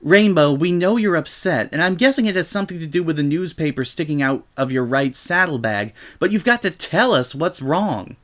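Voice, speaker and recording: Man playing a sensible, higher-voiced unicorn mare. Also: animated, normal speed, hardly any pauses, a bit bright. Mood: patient concern. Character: concerned, sensible female unicorn pony.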